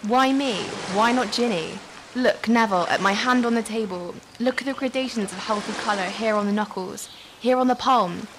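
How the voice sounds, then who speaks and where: A woman's voice: fairly high pitch, moderate pace, noisy background, close to an everyday voice. An actress as a blunt, earthy middle-aged woman. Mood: challenging. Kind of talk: literary radio drama acting.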